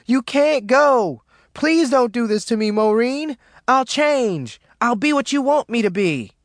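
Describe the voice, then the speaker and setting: A man's voice: extremely high pitch, animated, measured pace, no echo, studio quality, pitched above the performer's own voice, heavily acted. A man voicing a big, frantic woman who wails and pleads desperately in an audiobook. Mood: desperate pleading. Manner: wailing, desperate, shouted pleas. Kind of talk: audiobook character acting.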